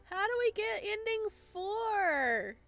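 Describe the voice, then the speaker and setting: A woman hosting a let's play, casually commenting and reacting with expressive, emotional exclamations. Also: extremely high pitch, slow pace, no room sound, faint music behind. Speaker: let's-play host reading a visual novel aloud.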